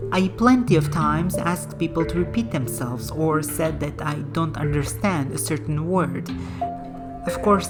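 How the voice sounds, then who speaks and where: A woman host narrating a scripted voice-over about learning languages, in a calm, explanatory way. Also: low voice. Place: voice-over, not in the game. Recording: music bed behind the voice.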